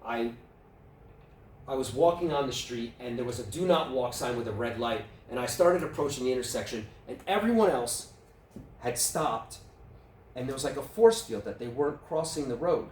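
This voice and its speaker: A man with a New York accent runs a speaking workshop, relaxed and conversational, often turning questions to his listeners. He sounds some way from the mic, in an echoey meeting room with faint background noise. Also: mid-range voice, normal speed, far from the mic. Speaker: workshop presenter.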